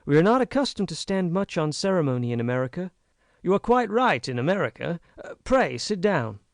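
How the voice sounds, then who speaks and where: A man's voice: sits high, sing-song, brisk, no room sound, clean studio sound, voice pushed up. A man reading an audiobook, voicing an earnest, frank young American woman who pleads with emphasis. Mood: earnest pleading.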